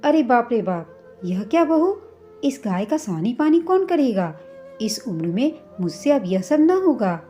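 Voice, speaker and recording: A woman's voice: on the high side, very animated, a little slow, mellow, soft background music. A woman voicing a sneering, stingy older mother-in-law in a told story, scornful and full of complaint. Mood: dismayed, grumbling.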